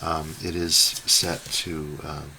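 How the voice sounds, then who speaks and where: A man hosting an unscripted hobby review, talking in a halting way as he thinks aloud while demonstrating. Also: a bass voice, flat delivery, vocal fry. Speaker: host, radio hobbyist reviewer. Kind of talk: unscripted product demonstration commentary. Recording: faint radio noise behind voice.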